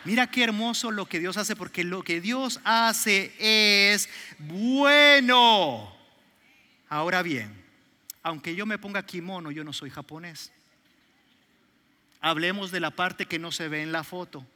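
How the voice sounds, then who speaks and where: Salvadoran man, middle-aged pastor, high and very bright, joking storyteller in a reverberant church hall. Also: lively intonation, slow pace. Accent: Salvadoran Spanish. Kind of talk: sermon.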